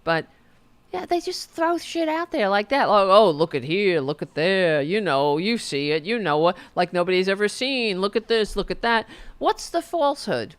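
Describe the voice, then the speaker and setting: A woman's voice: mid-range voice, very animated, moderate pace, clear, resonant tone. A woman hosting a podcast with casual political commentary, sarcastic, mocking and profane, often exasperated and repeating her demands. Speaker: podcast host.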